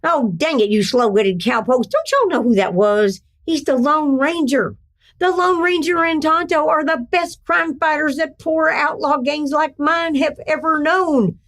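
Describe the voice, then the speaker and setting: A woman's voice: high voice, expressive, a little slow, fairly bright tone, full character voice. A woman playing a sly, folksy older outlaw leader who poses as a churchgoer in an old-time western radio drama. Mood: bitter defiance. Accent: Irish brogue, later Texan. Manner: indignant, theatrical, folksy.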